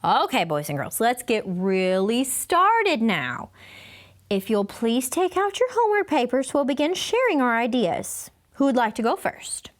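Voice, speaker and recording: Woman playing male teacher, patient, weary, high voice, unhurried, explanatory, storybook. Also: very animated. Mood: businesslike. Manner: measured, explanatory. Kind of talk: children's storybook read-aloud.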